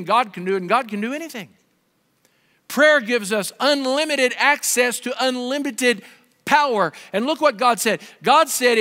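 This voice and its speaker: Animated high-voiced preacher in his fifties. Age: 50s. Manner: animated, gesturing preacher with wide swings in pitch and volume. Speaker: pastor. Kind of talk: sermon. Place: large dark stage with a pulpit. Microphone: headset. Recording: long hall reverb.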